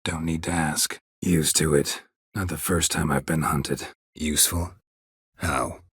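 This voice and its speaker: An actor playing a gruff, weary, stoic monster hunter in a video game, a gravelly voice and a terse, deadpan delivery. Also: male voice, measured pace, dry recording, exaggerated voice. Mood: dry, terse. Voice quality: husky, gravelly low voice. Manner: terse, clipped, deadpan, dry. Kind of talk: video game character dialogue.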